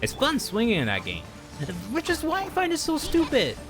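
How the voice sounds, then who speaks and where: A man's voice: extremely high pitch, huge pitch swings, normal speed. Casual man streaming, music behind him. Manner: opinionated, informal, exasperated ranting. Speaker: game livestreamer. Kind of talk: casual rambling stream chat about games. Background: background music.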